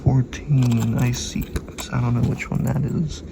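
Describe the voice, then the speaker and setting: A man mutters his way through a hands-on repair, musing aloud in a casual, sweary way. His voice is quite creaky and he talks slowly, with clear noise behind him on a homemade video. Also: mid-range voice, fairly even intonation. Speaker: hobbyist radio repairer filming his work.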